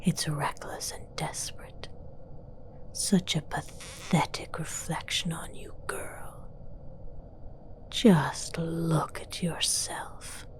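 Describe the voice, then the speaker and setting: A woman voicing a haughty, seductive female demon villain in a whispered, breathy ASMR fantasy roleplay, speaking formally and with condescension. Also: fairly low pitch, mellow. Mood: scornful. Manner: slow, deliberate, condescending, formal.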